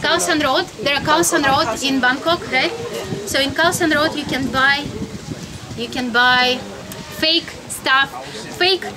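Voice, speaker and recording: A woman's voice: sits high, unhurried. A woman in her thirties from Russia with a Russian accent, giving a chatty, informal travel lecture outdoors and often questioning her listeners. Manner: chatty, laughs often, asks the audience questions. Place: outdoors, sunny courtyard among high-rise buildings. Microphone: camera in front, at a few meters. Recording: outdoor talk with audience chatter behind.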